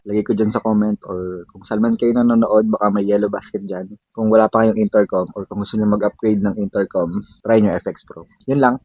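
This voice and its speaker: A man's voice: fairly low pitch, steady tone, fairly quick, dark tone, slightly rough, no room sound, dull audio. A man with a Filipino accent giving a casual, informal unboxing demo as a vlogger, mixing in English slang.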